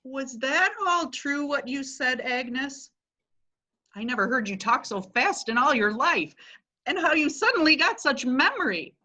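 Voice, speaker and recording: A woman's voice: high voice, lively intonation, a little slow, pushed and bright, full character voice. A woman playing a tart old society lady. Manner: tart, incredulous and teasing. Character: sharp-tongued elderly society lady, skeptical. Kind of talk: readers theatre reading.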